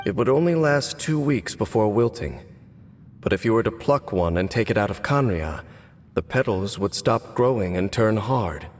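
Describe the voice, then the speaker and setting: A man playing a grave, mysterious swordsman in a video game, speaking in a measured, solemn way. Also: mid-range voice, darkish tone, slight background noise, lightly acted. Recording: game audio with long reverb.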